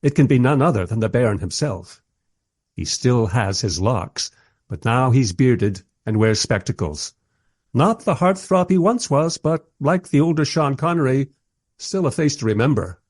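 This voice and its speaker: A man playing a wry documentary maker, narrating a radio play in the first person with expressive, husky delivery. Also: mid-range voice, normal speed, no room sound, studio quality, close to an everyday voice. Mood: wry, enthusiastic. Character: wry, enthusiastic documentary maker narrating his historical encounters.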